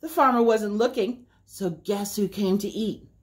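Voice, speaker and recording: A middle-aged woman hosting a children's story time, reading aloud in a deliberate, sing-song way. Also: medium pitch. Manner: slow, deliberate, sing-song repetition for young listeners. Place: small indoor room by a window.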